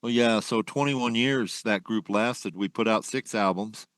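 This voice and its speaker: An older man, a former musician, reminiscing at length in a casual interview, rambling with many pauses. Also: on the low side, a bit bright, no room sound. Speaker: guest, musician.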